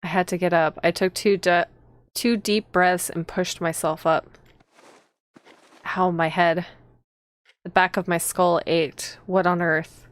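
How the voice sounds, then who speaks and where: Young noblewoman heroine, read by a female streamer, dramatic and shaken visual novel narration, lowish clear voice. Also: fairly even intonation, takes it slowly, pauses often, no echo, studio quality. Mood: dazed. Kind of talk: dramatic first-person visual novel reading.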